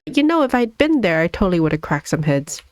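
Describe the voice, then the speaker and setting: A woman's voice: fairly low pitch, very animated, quick pace, no room sound, clean studio sound. A woman voicing a brash, folksy, slangy villager in a game who is spoiling for a fight. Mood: cocky.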